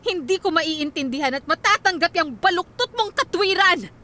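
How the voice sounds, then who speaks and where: A woman's voice: very high voice, expressive, normal speed, heavily acted. A woman with a Filipino accent playing a principled, indignant woman who speaks reproachfully in a radio drama. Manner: sharp, reproachful.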